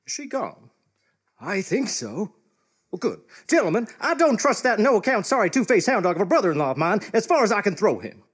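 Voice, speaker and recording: An actor playing a blustery, folksy small-town man, grandiose and wry, with a husky voice in a live comic radio play. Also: mid-range voice, very animated, a little fast. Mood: suspicious grumbling. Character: blustery folksy small-town big shot, falsely sweet, suspicious man.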